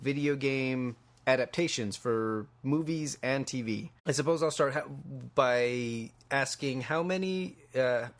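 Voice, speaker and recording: Man, average pitch, casual podcast chat, enthusiastic fan manner, halting phrasing. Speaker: podcast co-host. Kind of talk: casual chat about video games and films.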